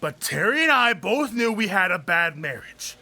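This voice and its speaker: Woman playing a creaky, blustering, meddlesome mayor. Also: mid-range voice, big pitch swings, normal speed, pushed and bright, heavily acted. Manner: blustering, insistent. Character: fussy, pushy, gossiping small-town male mayor. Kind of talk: period audio drama acting.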